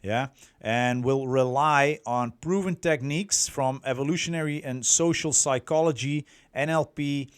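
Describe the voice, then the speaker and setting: A middle-aged man with a Dutch accent presenting as a coach, explaining things to viewers and often pausing to search for words. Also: fairly high pitch, clean tone. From Netherlands. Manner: halting, word-searching, self-correcting delivery. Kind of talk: explanatory online talk to viewers.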